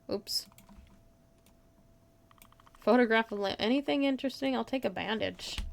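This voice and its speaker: A woman's voice: a little high, creaky, pushed and bright, slight background noise. A woman giving casual live gameplay commentary in a playful way, talking to herself and her chat. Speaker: game streamer, host.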